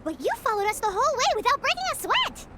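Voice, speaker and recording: A woman voicing a small, childlike, excitable fairy companion in a video game, squeaky and expressive, blurting out her lines. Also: quick pace, heavy background noise. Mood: curious. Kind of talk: scripted video game character dialogue. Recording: game audio with loud music behind. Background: music.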